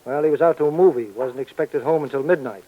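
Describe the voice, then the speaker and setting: An actor playing a dutiful sheriff reporting facts plainly, in an old 1950s radio police drama. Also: male voice, fairly high pitch, fast, natural voice. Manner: plain, factual. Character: dutiful, matter-of-fact male sheriff reporting findings. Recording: tinny, phone-like old radio recording.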